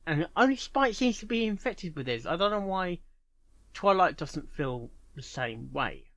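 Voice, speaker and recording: A man thinking aloud as he reacts to a story, turning questions over and guessing as he goes. His voice sits a little high for a man. The sound is dry and close. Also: big pitch swings, measured pace, creaky, fairly bright tone, dry recording. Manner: musing, speculative, thinking aloud. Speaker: reaction video host. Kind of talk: unscripted reaction commentary.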